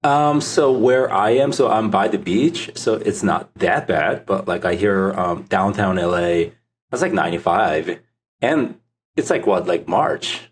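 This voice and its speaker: A man's voice: low-pitched, measured pace, a little hiss or hum. A middle-aged man from South Korea with a Korean accent, giving casual answers as a podcast guest. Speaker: podcast guest, startup founder.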